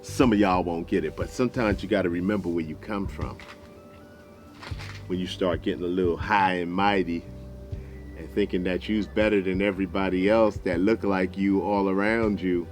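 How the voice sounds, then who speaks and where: An American man hosting and telling a story in a deliberate, folksy, dramatic way. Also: deep voice, steady tone, darkish tone. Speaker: storyteller, show host. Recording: music behind the voice.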